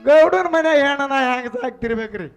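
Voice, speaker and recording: A man's voice: very high-pitched, expressive, brisk, vocal fry, exaggerated voice. A man playing a wheedling, chattering landlord's sidekick in a village stage drama, speaking colloquially with a North Karnataka accent. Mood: wheedling, jabbering. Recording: stage recording with very loud music.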